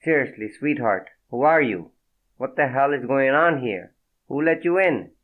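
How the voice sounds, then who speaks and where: A man's voice: medium pitch, expressive, normal speed, pitched above the performer's own voice, close to an everyday voice. A man playing a weary, tough-talking mobster. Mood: impatient. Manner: blunt, streetwise, impatient. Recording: tinny phone-like sound.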